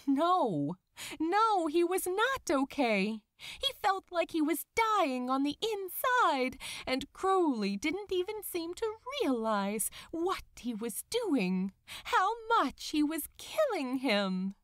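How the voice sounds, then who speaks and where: A woman's voice: high voice, sing-song, slow, fairly bright tone, no echo, studio quality. A woman narrating a story dramatically, with an emotive, theatrical delivery. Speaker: narrator of fan fiction audiobook.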